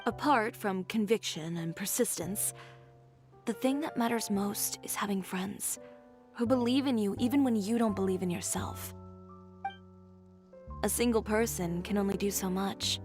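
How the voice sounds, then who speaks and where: A woman voicing a confident, sharp-tongued tailor in a game, talking with dry teasing wit. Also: medium pitch, measured pace, faint music behind, lightly acted. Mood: sincere.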